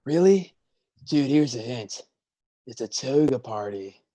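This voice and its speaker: Male actor as goofy sea god, husky voice, slangy dude talk, boisterous, meddling buddy, comic stage reading. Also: medium pitch, expressive, unhurried, warm tone. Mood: teasing.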